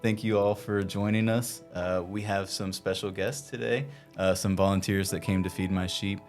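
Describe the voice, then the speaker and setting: A man's voice: sits low, steady tone, normal speed, soft background music. A man hosting a podcast, asking questions in a casual interview style. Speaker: podcast host.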